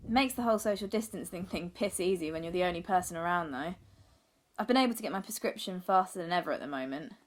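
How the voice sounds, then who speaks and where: A woman's voice: fairly low pitch, fast talker, natural voice. A woman playing a wry, blunt character in a filmed diary monologue. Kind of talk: spoken diary monologue in a short film.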